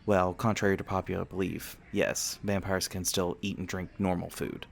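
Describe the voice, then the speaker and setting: Man acting a low, creaky-voiced friendly vampire student. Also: flat delivery, normal speed, a little hiss or hum. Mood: warm, teasing. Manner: casual, conversational, gently joking. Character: friendly, teasing male vampire university student and tour guide. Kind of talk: ASMR roleplay acting, casual chat to listener.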